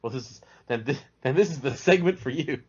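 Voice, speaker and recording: A man's voice: mid-range voice, expressive, fairly quick, breathy edge. A man talking casually as a guest on a podcast about cartoons. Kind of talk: casual chat, cartoon commentary. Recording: dull lo-fi audio.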